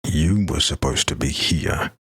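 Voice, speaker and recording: A very deep, slow, deranged killer. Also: male voice, a bit bright, husky, no echo, heavily acted. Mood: cold menace. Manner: slow, sing-song, half-whispered taunting. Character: deranged, menacing male killer, taunting and sadistic. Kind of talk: dark fantasy audio drama acting.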